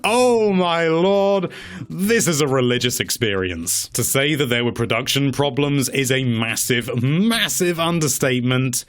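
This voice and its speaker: A man presenting a countdown in a casual, opinionated commentary style, wry and given to mock-dramatic emphasis and drawn-out words. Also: medium pitch, lively intonation. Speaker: presenter of a video game movie countdown.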